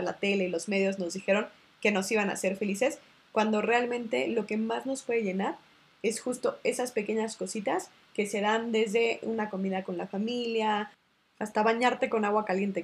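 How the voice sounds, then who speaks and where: A woman hosting a podcast who affirms her guest and shares reflective thoughts before asking a question. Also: medium pitch. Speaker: podcast host. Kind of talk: casual interview.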